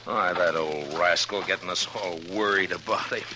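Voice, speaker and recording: An actor playing a steady, concerned lawman in an old-time radio western, plainspoken and quietly authoritative. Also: low voice, moderate pace, lightly acted. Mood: relieved. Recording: noisy vintage radio recording.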